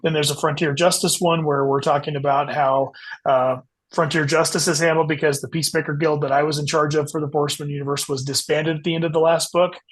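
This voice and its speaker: A man with a slightly high voice talks casually as an interview guest. He is an author explaining his work in a conversational, unscripted way. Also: level tone, fast talker.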